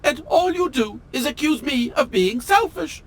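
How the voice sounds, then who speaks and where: A man's voice: very high-pitched, expressive, unhurried, heavily acted. A man playing a pompous, melodramatic automaton train engineer in a video game, with formal, fussy and theatrical diction. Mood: plaintive, self-pitying. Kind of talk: video game character acting.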